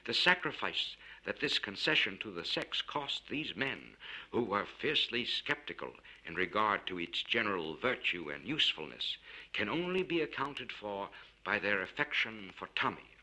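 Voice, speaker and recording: A man narrates a classic story with a forceful, very bright voice. His pitch moves widely as he shapes each phrase in a measured, theatrical manner. It has the sound of a spoken-word record from the vinyl era. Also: low-quality recording. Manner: measured, expressive, theatrical delivery. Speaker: audiobook narrator. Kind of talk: dramatic literary story reading.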